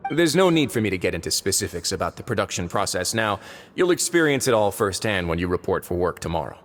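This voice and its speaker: Game role: composed male warden of a prison fortress, low voice, lively intonation, formal and dry. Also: a little hiss or hum. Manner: formal, unhurried, dry wit. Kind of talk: video game voice acting.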